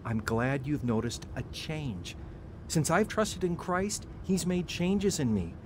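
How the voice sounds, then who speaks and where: A patient, devout younger brother in a radio drama, sincere and gently questioning. His pitch moves around a lot, over loud vehicle noise. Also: lively intonation, slow. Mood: earnest.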